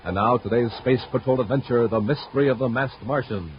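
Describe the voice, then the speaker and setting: An American man performing as a dramatic announcer, narrating a vintage radio space adventure serial with deliberate delivery. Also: medium pitch, lightly acted. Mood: dramatic, scene-setting. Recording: muffled, hissy vintage radio recording.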